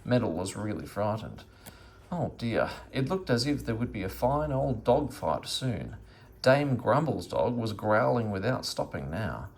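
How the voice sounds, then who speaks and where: A man reading a children's story aloud as an expressive narrator. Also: on the low side, measured pace, creaky. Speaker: storybook reader.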